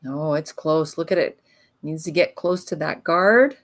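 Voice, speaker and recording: A woman giving calm, analytical live commentary on a curling match. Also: sits low. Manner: calm, analytical play-by-play with pauses.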